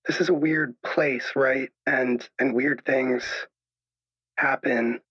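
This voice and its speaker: A man plays a troubled caller on a radio show, anxious and apologetic. His voice is rough and husky, breaking into hesitant stammers. The sound is dull and lo-fi. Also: mid-range voice, fairly even intonation, slow. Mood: troubled, halting. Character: anxious, troubled male caller unsure of his own reality. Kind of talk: improvised radio drama. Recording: dull lo-fi echoey call sound.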